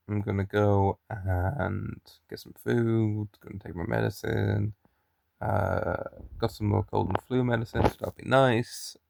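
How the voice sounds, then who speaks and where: A man's voice: lowish voice, gravelly, a little mellow, no echo, studio quality. A young man with a mild Irish accent, chatting and rambling to camera in a daily vlog, often restarting his sentences. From Ireland. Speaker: daily vlogger. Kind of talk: casual chat to camera.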